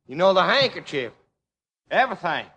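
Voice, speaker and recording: An actor playing a jealous Texas cowhand bungling Othello, reciting haltingly in a folksy drawl in a comic radio play. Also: male voice, high voice, big pitch swings, pushed and bright, husky, long reverb tail, lo-fi sound, full character voice. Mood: stern, halting. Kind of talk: comic old-time radio acting.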